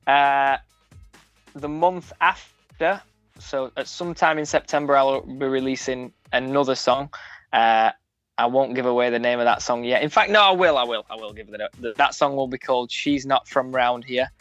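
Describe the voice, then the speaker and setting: A man's voice: medium pitch, normal speed, a bit bright, no room sound. Man with a broad Sheffield accent. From Sheffield, England. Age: young adult. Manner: frank, many restarts and fillers. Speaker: musician guest. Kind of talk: casual radio interview. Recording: dull, dry sound with music under it.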